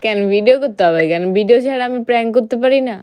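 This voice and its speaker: A woman's voice: fairly high pitch, very fast, darkish tone, clean tone, dry recording. A young woman with a Bangladeshi accent in a casual phone chat, mixing in some English. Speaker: prank caller.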